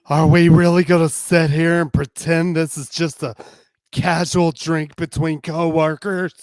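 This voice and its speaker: Woman acting a slow, teasing flirt. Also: sits low, flat delivery, darkish tone, no echo. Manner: drawling, provocative.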